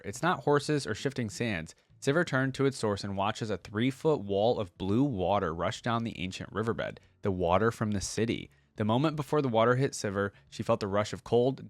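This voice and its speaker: Low-voiced man, casual enthusiastic reaction host. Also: dry recording. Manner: casual, slangy, enthusiastic fan. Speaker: reaction video creator reading game lore aloud. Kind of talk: expressive story reading mixed with casual reaction commentary.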